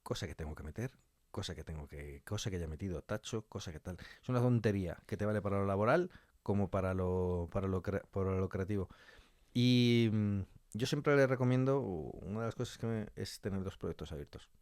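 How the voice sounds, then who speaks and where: Male, lowish voice, conversational interviewee who repeats for emphasis, dry studio recording. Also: a little fast, darkish tone, no room sound. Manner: informal, joking, repeats himself for emphasis. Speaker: interview guest, audio fiction scriptwriter.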